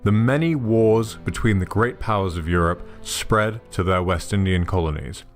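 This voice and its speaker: A man giving measured, formal scripted narration for a documentary. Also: deep voice, faint music behind.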